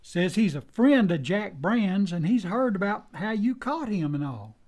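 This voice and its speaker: A man's voice: high voice, lively intonation, measured pace, darkish tone, full character voice. A man playing an anxious, loyal deputy in an old-time western radio drama, with a folksy American drawl and a stammering, deferential manner. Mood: deferential, chatty.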